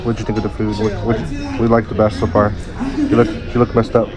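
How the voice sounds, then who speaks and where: A man's voice: on the low side, flat delivery, brisk, over loud music. A man casually narrating a dinner vlog, chatting to his viewers and friends at the table. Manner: chatty, addresses viewers as guys. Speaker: vlogger. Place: busy restaurant at a dinner table. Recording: noisy crowded restaurant. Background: loud crowd chatter and clinking cutlery.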